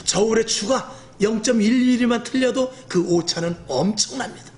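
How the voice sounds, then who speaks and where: A middle-aged male pastor with a rough, earthy voice gives a lively evening sermon, acting out quoted speech with self-mocking humor. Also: sits high, moderate pace.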